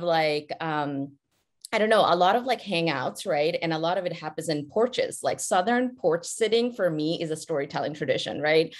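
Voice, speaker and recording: A young man from Bangladesh who grew up in New York, talking enthusiastically and casually on a panel, with lots of "like" and "right". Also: fairly high pitch. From Bangladesh, raised in New York City. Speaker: panelist, filmmaker. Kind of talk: panel discussion.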